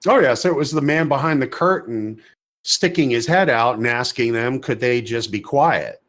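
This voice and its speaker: A man's voice: medium pitch, normal speed, fairly bright tone. A man co-hosting a podcast, giving blunt, sardonic opinions in a casual style. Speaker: podcast co-host.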